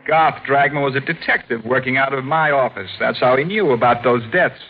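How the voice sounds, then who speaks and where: Actor as grave district attorney in radio mystery: accusing, measured, mid-pitched male, dull vintage broadcast. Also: lightly acted. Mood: grave accusation. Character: stern, probing district attorney exposing a killer. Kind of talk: 1940s radio mystery acting.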